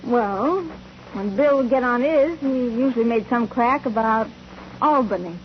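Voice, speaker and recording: A woman's voice: fairly high pitch, unhurried, lightly acted. An actress playing a curt, resentful mother who works at a club, testifying tersely in a 1940s radio courtroom drama. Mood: hesitant. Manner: terse, informal witness testimony. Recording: muffled, noisy old 1940s radio recording.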